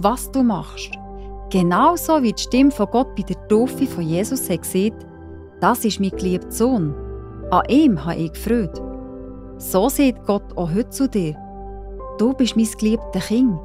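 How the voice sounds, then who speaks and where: A woman with a Swiss German accent narrating a children's Bible story, gently and encouragingly addressing young listeners. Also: mid-range voice, lively intonation, measured pace. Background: background music.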